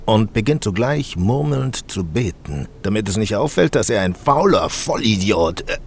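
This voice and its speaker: A man's voice: on the low side, sing-song, normal speed, vocal fry, slight background noise. A man playing a sarcastic, grumbling audio play narrator who mocks the story theatrically and breaks character with snide asides. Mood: wry narration. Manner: theatrical, mocking.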